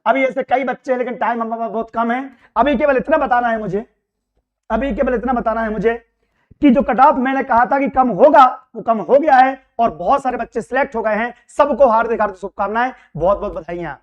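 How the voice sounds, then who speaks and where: Hoarse, excited man from Madhya Pradesh. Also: very high-pitched, a little fast, dull audio. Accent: Hindi from Madhya Pradesh. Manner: excited, reassuring, repeats phrases. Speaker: coaching teacher giving exam result updates. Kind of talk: casual direct-to-camera update.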